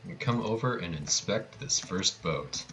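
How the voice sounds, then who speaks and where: A man's voice: lowish voice, animated, unhurried, a bit bright, soft background music. A man giving casual live commentary on his own video game speedrun, with playful, joking asides.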